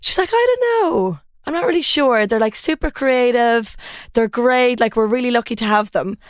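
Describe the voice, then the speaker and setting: A young woman from Ireland with an Irish accent, a podcast guest telling stories in a relaxed, chatty way with lots of fillers. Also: fairly high pitch, moderate pace, clear, resonant tone, no room sound, studio quality. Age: 20s. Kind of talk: casual chat.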